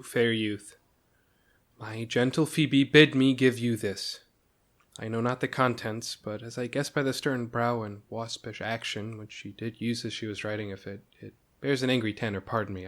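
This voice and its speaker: A man's voice: medium pitch, steady tone, moderate pace, fairly bright tone, natural voice. A man acting a humble, lovesick young shepherd in a Shakespearean radio play, earnest and pleading. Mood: apologetic.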